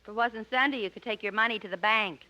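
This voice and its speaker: A woman's voice: on the high side, fast talker. A woman delivering dry, teasing wisecracks as a sidekick in a 1950s radio comedy. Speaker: comic sidekick. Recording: muffled, noisy vintage radio recording.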